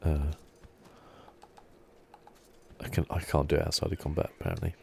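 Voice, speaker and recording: Male gaming ASMR host: hushed, relaxed commentary, dark warm tone with heavy vocal fry, close-miked. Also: normal speed, slight background noise. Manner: soft-spoken, low-key.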